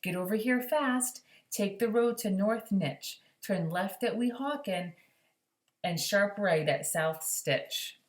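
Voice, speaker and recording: A woman's voice: medium pitch, takes it slowly, echoey room, voice pushed up, close to an everyday voice. A woman voicing a brash, greedy man who boasts and brushes others off, in a storybook read aloud. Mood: eager, greedy. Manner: boastful, dismissive.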